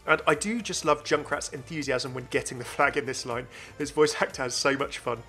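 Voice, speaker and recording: Fast, bright, enthusiastic male gaming host. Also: mid-range voice, soft background music. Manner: enthusiastic, chatty. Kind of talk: informal video game commentary.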